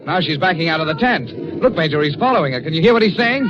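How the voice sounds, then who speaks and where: An earnest, boyish young fiancé, played by a male actor in a vintage radio thriller. His delivery is highly animated, swinging widely in pitch, and affectionate. The sound is lo-fi and dulled. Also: fast talker, background noise, lightly acted. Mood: alarmed. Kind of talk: old-time radio drama acting. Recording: dull lo-fi old radio recording.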